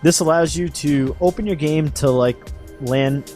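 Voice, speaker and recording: A man hosting a podcast, casually explaining game update news in a halting, hedging way. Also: a little high, warm tone, soft background music.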